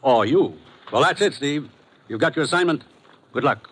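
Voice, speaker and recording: An actor playing a stern, authoritative commissioner giving clipped orders in 1950s radio drama style. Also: male voice, on the high side, animated, normal speed, close to an everyday voice. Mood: stern, urgent. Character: stern, businesslike male commissioner briefing an agent.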